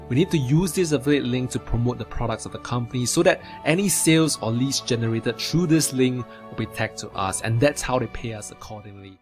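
A young man presenting a casual software review to camera, speaking into a close desk microphone. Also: mid-range voice. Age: young adult. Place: small indoor room. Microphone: desk microphone close in front.

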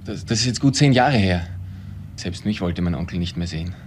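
An actor playing an evasive, subdued grown son who gives short, reluctant answers in a crime drama. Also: male voice, deep voice, expressive, a little fast, a little hiss or hum.

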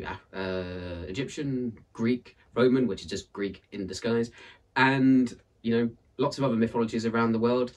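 A man in his thirties with a husky voice, reading and presenting a book as an expressive storyteller. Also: on the low side, recorded from a distance. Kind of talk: expressive read-aloud storytelling and book introduction. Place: indoors in front of a purple backdrop.

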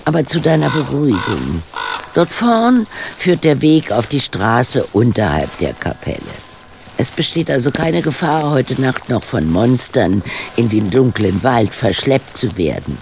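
Dry, gravelly woman sleuth. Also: very deep voice, very animated, a little slow, a little mellow, slight background noise, full character voice. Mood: dry, teasing. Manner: dry, wry, decisive. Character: level-headed, teasing woman sleuth, taking charge. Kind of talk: radio drama acting.

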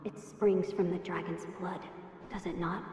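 A woman's voice: lowish voice, level tone, measured pace, dark tone, a little hiss or hum, lightly acted. A woman voicing an earnest, dignified young noble boy in a video game, formal and polite. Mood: troubled.

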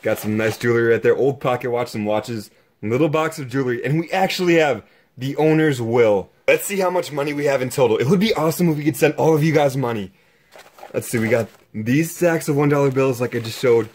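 An excitable young man narrates his own exploration vlog, exclaiming over each new find. His voice is slightly husky and sits at an ordinary pitch, and it is caught close by a handheld camera. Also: a little fast. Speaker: exploration vlogger.

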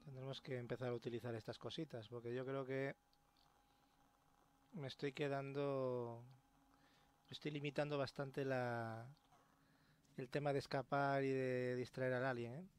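A man's voice: mid-range voice, level tone, unhurried, long pauses, clear, resonant tone. A man with an accent from Spain giving informal, mildly sweary let's play commentary. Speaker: gaming YouTuber. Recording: faint game ambience behind voice. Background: quiet video game ambience.

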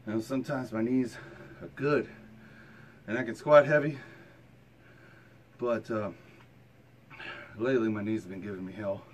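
A man's voice: fairly low pitch, steady tone, normal speed, long pauses. A man in his thirties, tired from exercise, talking casually and plainly to camera in a workout vlog. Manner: plain-spoken, colloquial, mild swearing. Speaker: fitness vlogger. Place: rooms at home and a home gym. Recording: noisy handheld camera audio with ambience.

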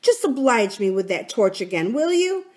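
A woman's voice: fairly high pitch, big pitch swings, normal speed, a little mellow, pitched above the performer's own voice. A woman voicing a cunning, scornful male pirate captain and sea cook in a storybook, with sardonic, folksy sailor talk. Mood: sarcastic. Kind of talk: dramatic storybook character reading.

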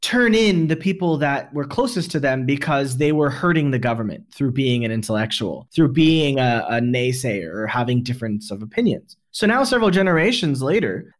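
A man hosting a podcast, explaining his points at length in a conversational way. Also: fairly high pitch. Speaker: podcast host.

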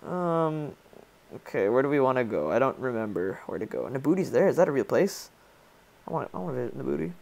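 A teenage boy with a Polish accent gives loose, joking commentary while playing a game. His voice is gravelly and swings up and down a lot. He rambles and reads game lines aloud in silly voices. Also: mid-range voice, big pitch swings, quick pace, darkish tone. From Poland. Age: teens. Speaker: gaming YouTuber. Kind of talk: casual let's play commentary.

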